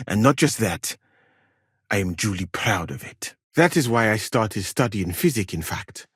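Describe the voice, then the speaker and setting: An actor playing a learned, well-travelled West African physician in a video game, speaking formally and precisely with dry wit and a husky voice. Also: male voice, fairly low pitch, animated, fairly bright tone, no room sound, exaggerated voice. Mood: dryly ironic. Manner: formal, measured, precise diction with dry irony. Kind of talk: video game character dialogue.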